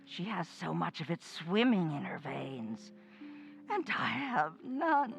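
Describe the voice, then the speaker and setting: A sly, menacing old ghost woman in a radio horror play. Her voice is creaky and very slow, taunting and gloating as she gives orders. Faint music runs behind her. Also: lowish voice, sing-song, full character voice. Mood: sinister, taunting. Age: older.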